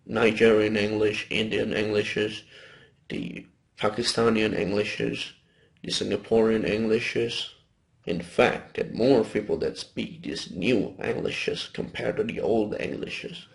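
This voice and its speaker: A man's voice: on the low side, vocal fry. A young man with an Indonesian accent gives an informal class presentation, explaining loosely with self-corrections. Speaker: student presenter.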